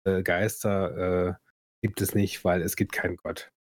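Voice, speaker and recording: A man's voice: low voice, level tone, unhurried. A man co-hosting a casual podcast chat, laid-back, with many hesitant fillers. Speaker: podcast co-host.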